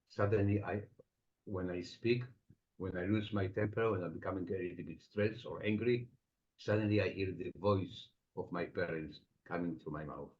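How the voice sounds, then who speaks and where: A man with an Estonian accent, a psychotherapist answering interview questions thoughtfully, with many pauses. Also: deep voice. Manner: reflective, explains with everyday examples, frequent pauses. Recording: dull lo-fi sound.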